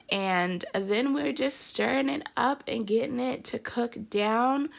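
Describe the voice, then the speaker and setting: A woman's voice: mid-range voice, vocal fry, a bit bright. A woman with a stuffy, congested voice, chatting upbeat through a home cooking video as the channel's host. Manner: chatty and upbeat, says "y'all" often. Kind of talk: casual cooking vlog narration.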